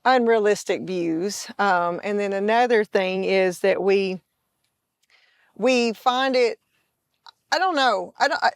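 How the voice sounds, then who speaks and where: A woman's voice: mid-range voice, slow pace, pauses often, clean tone, no echo. A woman hosting a casual health talk show, chatty, with frequent laughter and restarts. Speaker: podcast host. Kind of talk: casual interview chat.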